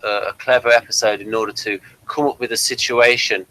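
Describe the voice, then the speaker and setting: A man's voice: on the low side, steady tone, brisk, sharp tone, poor audio. A man hosting a casual review chat, rambling and thinking aloud as he goes. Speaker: podcast review host.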